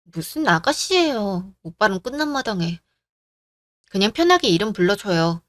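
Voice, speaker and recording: A woman's voice: mid-range voice, sing-song, moderate pace, no echo, clean studio sound, natural voice. A woman voicing a polite, friendly young sister-in-law in a story radio drama.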